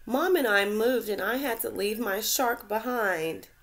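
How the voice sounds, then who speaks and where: A small, lonely young female remora, put on by a woman reading to children, with a raised, soft and tearful voice. She speaks slowly, in the gentle way of a storybook character. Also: a little high, pitched above the performer's own voice.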